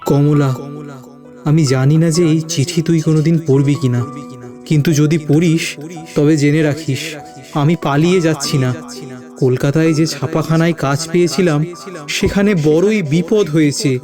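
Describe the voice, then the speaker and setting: Male actor as heartbroken young village lover, higher-set voice, earnest pleading delivery over prominent music. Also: fairly even intonation, measured pace, close to an everyday voice. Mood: anguished, pleading. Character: anguished young village lover reading his farewell letter. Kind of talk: audio story acting.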